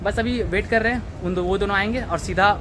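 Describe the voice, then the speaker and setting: A man's voice: high-pitched, fast, noisy background. A man in his twenties from Bihar, India, chatting casually to camera as a college vlogger. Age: 20s. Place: outdoors on a college campus. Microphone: phone at arm's length. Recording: outdoor phone recording with crowd chatter, music.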